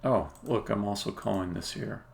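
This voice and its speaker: A man with a very gravelly, fry-heavy voice talks through his work as a game developer on a live coding stream. He speaks in halting, half-muttered phrases, reasoning to himself. His pitch sits on the low side, with faint noise behind him. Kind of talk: live-coding commentary, thinking aloud. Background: computer keyboard typing and clicking.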